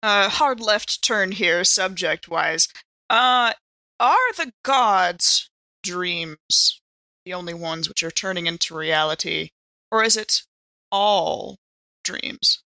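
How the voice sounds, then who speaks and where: A woman's voice: fairly low pitch, lively intonation, slow pace, pushed and bright, dry recording. A woman improvising in character as a tabletop game player, with wordy, formal phrasing.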